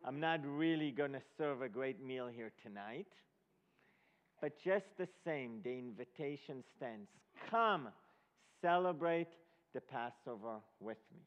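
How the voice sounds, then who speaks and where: A man from Israel, preaching and teaching a congregation in a halting, questioning manner. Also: medium pitch, expressive. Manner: halting, asks the listeners check questions. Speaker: guest preacher leading a Passover presentation. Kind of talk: sermon, ceremonial teaching to a congregation. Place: large reverberant room before an audience. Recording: dull lo-fi recording in a reverberant hall.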